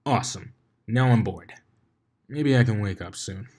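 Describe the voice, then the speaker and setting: Blitz, a man doing a deadpan young colt. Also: fairly low pitch, a little slow. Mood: dry.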